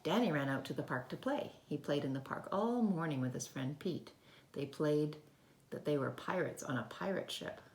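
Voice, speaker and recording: Female early-years storyteller, low creaky voice, simple child-directed delivery, roomy home recording. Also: a little hiss or hum. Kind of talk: read-aloud storytelling for young children. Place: indoors at home.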